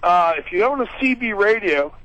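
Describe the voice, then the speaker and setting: A man's voice: high voice, expressive, moderate pace, a bit bright. A man calling in to a radio show over the phone, explaining something informally. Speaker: radio call-in listener. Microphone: telephone. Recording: tinny narrow phone line.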